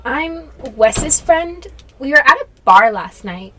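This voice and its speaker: A woman's voice: high voice, expressive, slow, pushed and bright, heavily acted. A young woman acting in a student radio drama as a witness whose friend has gone missing. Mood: anxious, explaining.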